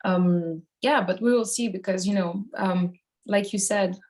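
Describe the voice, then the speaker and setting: A woman's voice: mid-range voice, a little slow, a little mellow. A woman from Switzerland, a band singer, giving casual interview answers in a thoughtful, hesitant way as she searches for phrasing.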